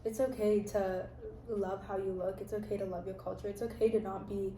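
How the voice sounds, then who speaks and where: A woman's voice: medium pitch, little pitch movement, fairly quick, dark tone, reverberant, slight background noise. A young American woman hosting a casual chat, telling stories about her life in an earnest, reflective way. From United States. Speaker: host.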